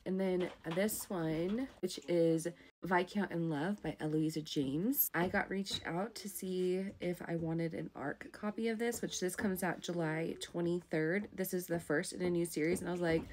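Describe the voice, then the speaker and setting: A woman's voice: lowish voice, fairly even intonation, normal speed, a little mellow, a little hiss or hum. A young woman talking casually about her reading in a vlog, rambling with many fillers. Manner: chatty, rambling, lots of "like" fillers. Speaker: book vlogger.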